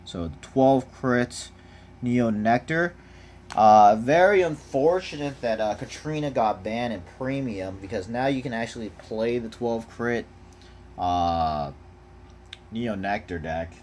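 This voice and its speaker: A man's voice: medium pitch, slow pace, a little mellow, slight background noise. A man talking casually and off the cuff as he reviews trading cards, laid-back in manner, reading out text and giving brief verdicts. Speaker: card game unboxing vlogger.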